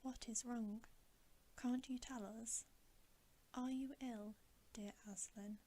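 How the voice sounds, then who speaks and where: A woman's voice: fairly high pitch, steady tone, takes it slowly, dark tone. A woman voicing anxious, tender young girls from a storybook in an earnest, worried whisper. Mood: tender concern.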